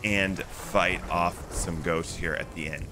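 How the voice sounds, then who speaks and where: Low-pitched man narrating a game walkthrough. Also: steady tone, takes it slowly. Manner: casual, instructive. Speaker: game guide video narrator. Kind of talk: walkthrough commentary over gameplay. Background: music.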